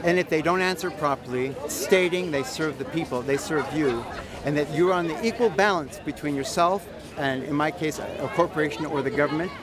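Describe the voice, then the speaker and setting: An American man speaking off the cuff as an activist in an outdoor interview, emphatic and self-assured, repeating phrases for emphasis. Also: fairly high pitch. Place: outdoors at a public rally. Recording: outdoor crowd noise behind voice. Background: crowd chatter.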